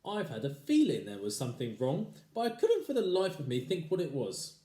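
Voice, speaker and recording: A man voicing a nasty old wife who is frightened, crying out in a shrill, panicky way. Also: huge pitch swings, brisk, mellow, recorded from a distance. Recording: echoey room.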